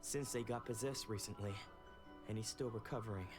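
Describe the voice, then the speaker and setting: An actor playing an earnest, protective young sword disciple in a video game, speaking formally. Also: male voice, on the low side, a little fast, music in the background, lightly acted. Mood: apologetic, earnest. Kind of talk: video game dialogue acting.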